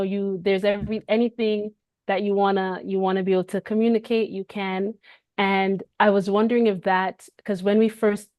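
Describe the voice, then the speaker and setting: A woman hosts a casual livestream, greeting viewers and rambling through her thoughts with lots of fillers and restarts. Her voice sits at a middle pitch and sounds dry and close. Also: darkish tone, no echo. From Canada. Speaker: livestream host.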